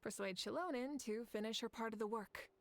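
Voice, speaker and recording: A woman's voice: a little high, a little fast, close to an everyday voice. An actress playing an upbeat, confident young female warrior in a video game, friendly in manner. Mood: relaxed, friendly.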